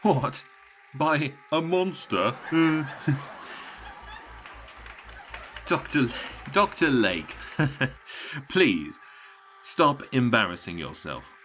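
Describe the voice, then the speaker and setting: An actor playing a smug, taunting television game show announcer with glib, theatrical, condescending patter in an audio drama. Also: male voice, mid-range voice, big pitch swings, slow, pauses often, fairly bright tone, highs cut off, a little hiss or hum. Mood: mocking.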